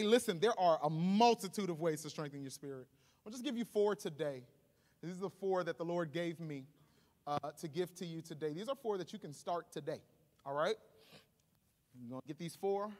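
A man's voice: sits high, lively intonation, brisk. A man in his thirties preaching as a pastor to a congregation, conversational and emphatic with humorous asides, on a handheld microphone. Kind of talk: animated sermon to a congregation. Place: large stage in an auditorium. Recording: reverberant hall PA sound.

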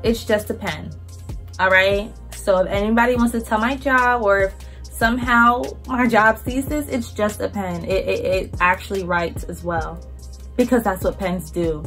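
A woman's voice: medium pitch, steady tone, normal speed, a bit bright, soft background music. A young woman, chatting to camera as a vlogger in a casual, slangy, blunt way with swearing.

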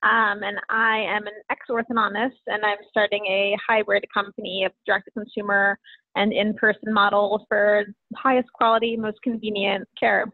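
A woman's voice: mid-range voice, level tone, normal speed. A woman introducing herself fluently on a video call. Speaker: participant. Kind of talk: self-introduction. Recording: tinny phone-like call audio.

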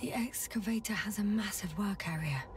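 A woman voicing an observant, level-headed space scientist in a video game, reporting what she sees in a measured way. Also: medium pitch, recorded from a distance, noisy background, lightly acted. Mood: calm, observant.

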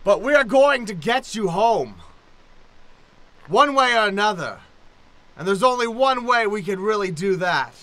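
An actor playing a drunk, scheming mentor in an improvised old-time radio play, grandiose and dramatic. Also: male voice, high-pitched, animated, measured pace, long pauses, dry recording, exaggerated voice, rough recording. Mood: manic, scheming. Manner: grandiose, dramatic, swinging from murmurs to shouts. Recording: noticeable background noise.

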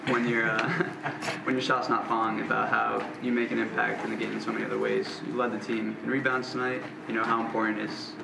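A man's voice: medium pitch, gravelly. A man reporter asking rambling questions at a press conference, picked up from a distance. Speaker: sports reporter. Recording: distant, echoey, noisy room mic.

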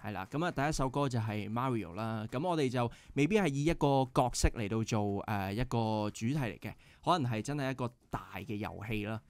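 Hong kong band guy explaining stuff step by step, mid pitch, dry close sound. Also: expressive, a little fast, hardly any pauses, smooth, no echo. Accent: Hong Kong Cantonese. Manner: earnest, methodical, filler-heavy. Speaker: band guitarist. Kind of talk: casual radio interview.

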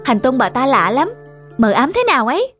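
Gossipy, high-voiced suspicious neighbour. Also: female voice, very animated, fairly quick, a bit bright, slightly rough, faint music behind, heavily acted. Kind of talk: Vietnamese cartoon voice acting.

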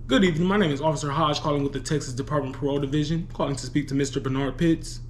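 A man making a prank phone call as an official, speaking politely and formally with hesitant pauses. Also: on the high side, slight background noise. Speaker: prank caller.

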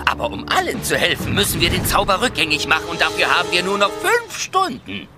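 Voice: mid-range voice, huge pitch swings. Swooping, bossy clever raven. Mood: urgent. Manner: brisk, exasperated. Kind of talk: children's radio play acting. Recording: music behind.

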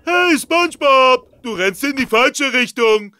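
Ein Mann spielt einen einfältigen, unbekümmerten, kindlich sturen Seestern in einem Kinderhörspiel. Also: male voice, very high-pitched, lively intonation, slow pace, noisy background, heavily acted. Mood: cheeky, insistent. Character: dim-witted, carefree male starfish buddy, childishly stubborn. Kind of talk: children's cartoon audio drama acting.

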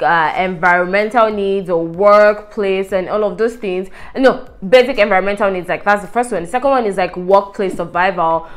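A young woman from Nigeria whose first language is Yoruba talks casually through exam tips to the camera. She chats with lots of fillers, sitting at a desk in a bright apartment room. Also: medium pitch. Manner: chatty, gestures a lot, frequent fillers. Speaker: vlogger giving exam tips. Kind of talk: casual explanatory vlog.

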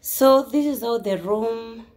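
A young woman vlogger from Kenya, calmly explaining her cleaning and cooking steps in a casual voice-over. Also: a little high, slow pace, music in the background. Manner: plain step-by-step explaining. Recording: echoey room with background noise.

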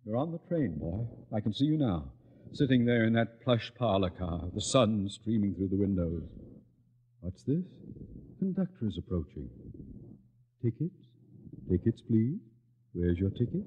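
Pompous, scheming principal, swooping delivery. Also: male voice, on the low side, sing-song, normal speed, long pauses, long reverb tail, dull audio. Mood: manipulative, theatrical. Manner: blustering, theatrical, wheedling. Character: pompous, scheming middle-aged male high school principal. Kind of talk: 1950s radio comedy acting. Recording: noisy old-time radio recording.

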